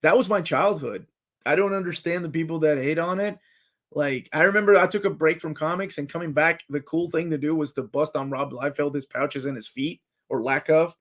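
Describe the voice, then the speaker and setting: A man in his forties hosting a casual live chat, talking excitedly like a fan as he reads and answers comments. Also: fairly high pitch, fairly quick. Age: 40s. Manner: excitable fan, jumps between comments and guesses.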